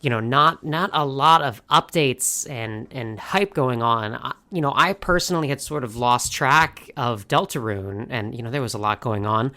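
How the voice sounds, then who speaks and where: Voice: mid-range voice, normal speed, few pauses, sharp tone. A podcast co-host talking in a casual, conversational fan-discussion style.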